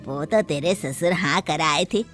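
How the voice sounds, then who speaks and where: A woman's voice: sits low, unhurried, fairly bright tone, faint music behind. A woman voicing a haughty, scornful mother-in-law who scolds harshly, in a cartoon story. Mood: scolding.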